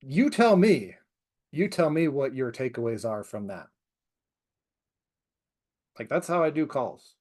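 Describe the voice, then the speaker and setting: A man's voice: mid-range voice, lively intonation, unhurried, pauses often, darkish tone. A man teaching students in an informal, self-deprecating way, often checking in as he comments on his lesson. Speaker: trainer teaching students about cold calls. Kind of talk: casual video lesson with commentary.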